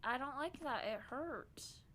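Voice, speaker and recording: A woman's voice: high voice, lively intonation, a little slow, pushed and bright, a little hiss or hum. A young woman with a stuffy nose, playfully teasing a game as she streams. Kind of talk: casual gameplay commentary.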